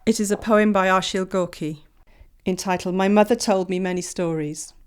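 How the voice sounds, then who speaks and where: A middle-aged woman from Wales reads a scripted voice-over in a calm, measured way. Her tone stays reflective and unhurried, as an artist talking through her own pieces. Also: mid-range voice, clean tone.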